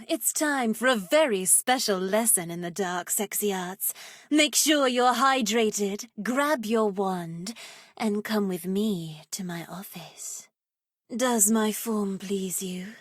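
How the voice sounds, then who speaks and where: A woman's voice: medium pitch, dry recording, studio quality, lightly acted. A woman voicing a seductive, wicked witch for a video game, with a sultry, teasing, theatrical delivery. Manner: sultry, teasing, theatrical, slips into breathy whispers. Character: seductive, wicked adult witch, playful dark sorceress. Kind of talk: video game character voice lines.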